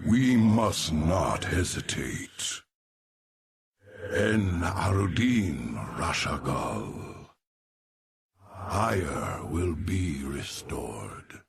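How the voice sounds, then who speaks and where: A man voicing an ancient, remorseful alien warrior-mystic in a video game, with a gravelly, breathy rasp and solemn, archaic, portentous delivery. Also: deep voice, background noise, exaggerated voice. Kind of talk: dramatic video game voice acting. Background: background music.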